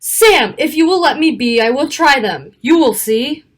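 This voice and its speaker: A woman reading a rhyming children's picture book aloud in an expressive, rhythmic way. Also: high-pitched, normal speed. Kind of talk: animated children's story read-aloud.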